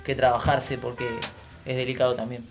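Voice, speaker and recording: A man's voice: mid-range voice, level tone, fairly quick, faint music behind. A man with an Argentine accent hosting a radio show in a casual, chatty way.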